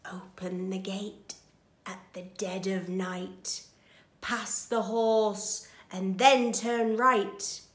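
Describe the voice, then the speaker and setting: A woman voicing a cunning male cattle thief in a storybook, hushed, deliberate and conspiratorial. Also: medium pitch, steady tone. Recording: roomy echo.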